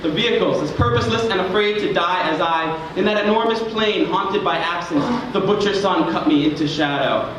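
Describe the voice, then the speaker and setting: A man performing spoken word in a theatrical, dramatic and deliberate way, as a poet on stage. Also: sits high, distant mic. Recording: echoey, noisy room recording from afar.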